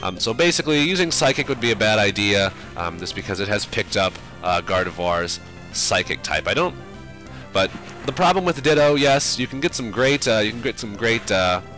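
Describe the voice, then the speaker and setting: A man's voice: on the low side, expressive, normal speed, bright, forceful tone, faint music behind. A young man with a cold, sounding sinusy, casually rambling through let's play commentary with lots of fillers. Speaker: game commentator.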